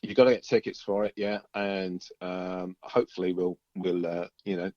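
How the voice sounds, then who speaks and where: A man with a fairly low voice talks as a musician guest. He rambles along casually and easily. The recording is dull and lo-fi, with no room echo. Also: little pitch movement, normal speed, darkish tone. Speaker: band musician being interviewed. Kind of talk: casual chat.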